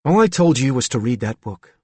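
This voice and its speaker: A man's voice: medium pitch, big pitch swings, a little fast, darkish tone, breathy edge, no room sound. A man doing an earnest woman psychologist. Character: woman psychologist, calm and persuasive.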